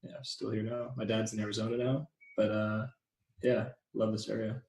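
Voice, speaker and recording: A man in his late twenties from Southern California, a guest speaking casually in a relaxed way with many ums and yeahs. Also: fairly low pitch, normal speed. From Southern California, born in New Zealand. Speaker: guest, speedway rider. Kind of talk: casual interview chat. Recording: roomy echo.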